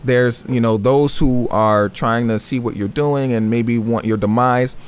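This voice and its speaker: A man hosts a panel talk in a reflective way. He picks up his guests' points and affirms them warmly before moving on. His pitch sits in an ordinary middle range. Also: fairly even intonation, a little slow, smooth. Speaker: host.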